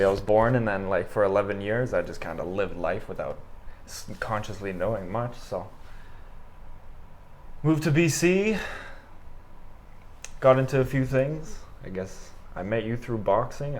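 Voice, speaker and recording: A young Canadian man in his twenties, talking in a relaxed, rambling way with many fillers as a guest on an interview podcast. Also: lowish voice, moderate pace. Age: 20s. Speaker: guest, amateur boxer. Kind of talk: casual interview conversation.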